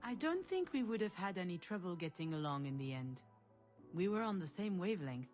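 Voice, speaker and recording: A woman's voice: lowish voice, sing-song, brisk, darkish tone, soft background music. A woman playing a protective, reflective older sister in a naturalistic video game drama, earnest and wry. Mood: wistful. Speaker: game protagonist. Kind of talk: naturalistic drama voice acting, dialogue and inner monologue.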